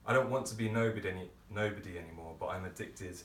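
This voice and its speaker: Echoey, low-voiced man performing poetry. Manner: theatrical, deliberate phrasing with pauses. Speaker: poet performer. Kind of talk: dramatic spoken-word poetry monologue performance. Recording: roomy, distant microphone, background noise.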